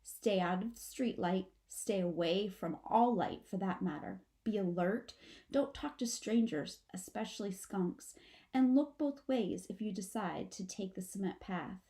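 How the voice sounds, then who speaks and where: A woman's voice: mid-range voice, unhurried, dark tone, close to an everyday voice. A woman voicing a mother possum in a storybook, a firm but wistful parent with a stagey, instructing manner. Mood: solemn, urging.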